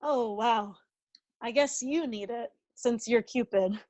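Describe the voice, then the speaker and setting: Actress doing impulsive lovestruck woman, real high voice, earnest, casual comedy table read. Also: slow, a little mellow. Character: Maggie, earnest, impulsive woman chasing love.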